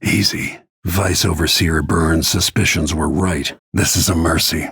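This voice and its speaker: A weathered male bodyguard turned assassin for a video game. His voice sits low and is full of gravel, with a heavily husky grain. He speaks tersely, with grim, brooding restraint. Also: steady tone, unhurried, fairly bright tone, no room sound, clean studio sound, exaggerated voice.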